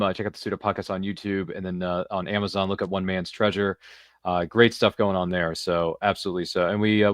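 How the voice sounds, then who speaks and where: Fast-talking man hosting a podcast. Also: lowish voice, no echo, clean studio sound. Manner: chatty, informal, addresses listeners directly. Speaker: podcast host. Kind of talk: casual interview show hosting.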